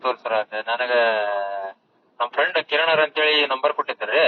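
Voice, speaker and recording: Quick North Karnataka man on a muffled call. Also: medium pitch. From northern Karnataka, India. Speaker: prank caller. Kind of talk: prank phone call banter. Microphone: phone call. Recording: dull noisy phone call recording.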